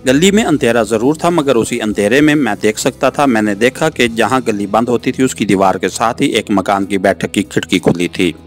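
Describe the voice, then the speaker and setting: Male audiobook narrator, lower register, first-person thriller storytelling with soft music underneath. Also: moderate pace, few pauses. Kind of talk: scripted first-person novel narration, suspense. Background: background music.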